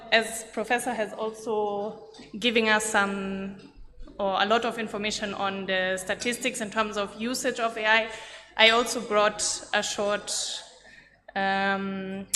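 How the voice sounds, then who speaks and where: A woman's voice: medium pitch, a bit bright, slight background noise. A woman, giving a friendly, informal conference talk with self-deprecating asides. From Germany. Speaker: conference presenter. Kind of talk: conference presentation. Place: large conference hall. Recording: echoey hall with faint room ambience.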